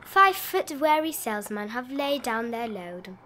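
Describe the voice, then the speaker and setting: A woman narrating a rhyming children's bedtime picture book in an expressive, sing-song read-aloud style with drawn-out emphasis. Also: on the high side, slight background noise. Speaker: storybook narrator.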